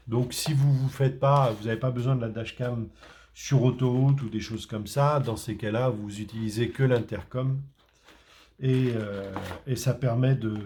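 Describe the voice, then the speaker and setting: A man's voice: medium pitch, warm tone, slight background noise. A man from France doing a casual unboxing, talking hesitantly with many fillers and restarts. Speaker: tech reviewer.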